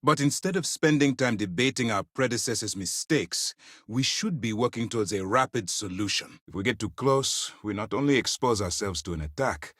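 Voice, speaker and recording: A stern space navy commander for a video game, with a low voice that moves expressively through a wide range. He speaks formally and with firm authority, and the recording is close and dry. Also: lively intonation, normal speed, a bit bright, no room sound. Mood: grave. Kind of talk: acted game dialogue.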